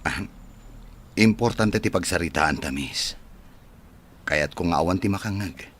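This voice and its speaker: Man acting a deep-voiced, arrogant rich bachelor. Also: animated, measured pace, a bit bright, slightly rough, heavily acted. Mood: coaxing. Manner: curt, scornful, emphatic. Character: rich, arrogant, cold bachelor son who distrusts women. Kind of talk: dramatic radio-style acting.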